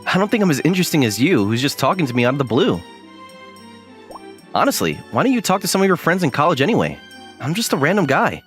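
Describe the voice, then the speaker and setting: Young male student for an anime dub, played by a man: guarded, self-deprecating, dry and puzzled, with lively swings in pitch over a music bed. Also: fast. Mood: dry, bemused. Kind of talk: anime-style dub with first-person narration and inner monologue. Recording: music behind the voice.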